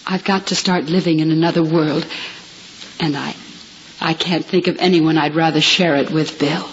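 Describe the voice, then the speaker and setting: A woman's voice: deep voice, fairly even intonation, normal speed, lightly acted. An actress playing a vulnerable, hopeful young blind woman in love, hesitant and earnest, in a 1950s radio drama. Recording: dull, hissy 1950s radio recording.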